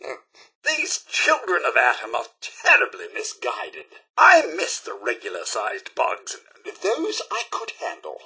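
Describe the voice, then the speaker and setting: A man's voice: medium pitch, huge pitch swings, unhurried, sharp tone, husky, exaggerated voice, rough recording. A man playing a fussy, loyal robot butler in a video game, with a British accent and a formal, theatrical, drily sarcastic way of speaking. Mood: prim, wry. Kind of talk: scripted video game voice lines.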